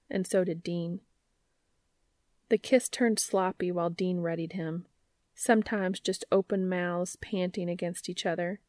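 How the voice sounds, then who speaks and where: A woman's voice: lowish voice, creaky, darkish tone, no room sound, clean studio sound. A woman narrating scripted fiction as an audiobook reader.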